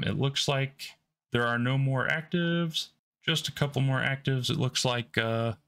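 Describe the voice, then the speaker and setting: A man talks through a software demo at his home workstation. His voice sits at a middle pitch, and he speaks in a relaxed way, thinking aloud with frequent ums. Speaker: tech channel host. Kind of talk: screen-recorded software demo.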